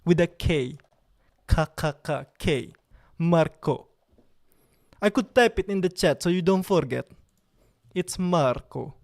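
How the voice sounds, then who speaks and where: A man's voice: a little high, expressive, slow pace, creaky, pauses often, darkish tone. Playful man with an Italian accent. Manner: playful, joking, repeats himself. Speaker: livestream host. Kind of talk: casual live-stream chat.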